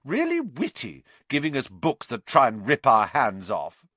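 Spoilt schoolboy bully for an audiobook, slow contemptuous drawl, cold and sarcastic, acted by a man. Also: medium pitch, huge pitch swings, fairly bright tone, no room sound, exaggerated voice. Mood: sarcastic sneer. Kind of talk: audiobook character voice.

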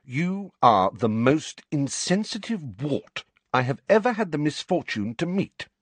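Guy doing a know-it-all teen schoolgirl, pitched up, bossy n precise, storybook reading. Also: big pitch swings, breathy edge, no room sound. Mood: dignified, scornful. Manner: brisk, precise, lecturing.

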